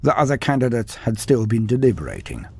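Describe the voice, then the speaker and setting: A man with a husky voice reading a fantasy tale in a dry, wry storytelling manner. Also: mid-range voice, wildly swooping pitch, brisk, faint music behind. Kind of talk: scripted fantasy narration.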